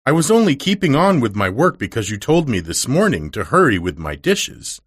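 A man's voice: mid-range voice, very animated, moderate pace, husky, no echo, clean studio sound, pitched above the performer's own voice. A man voicing a cheerful but timid young servant girl who stammers when scolded, in a storybook reading. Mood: flustered. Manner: stammering, eager to please.

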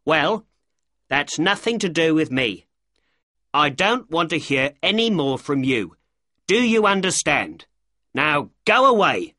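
A narrator voicing a tall, angry male shopkeeper in an audiobook story, shouting and blunt. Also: medium pitch, big pitch swings, measured pace, few pauses, dry recording, clean studio sound, voice pushed up, heavily acted. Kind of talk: audiobook character dialogue.

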